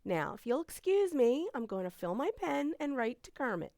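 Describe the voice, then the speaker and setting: A woman's voice: sits high, sing-song, moderate pace, vocal fry, darkish tone, dry recording, studio quality, exaggerated voice. A woman playing a haughty, indignant teenage girl, prim and snooty, in old-time radio comedy style. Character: haughty, indignant teenage older sister Mary.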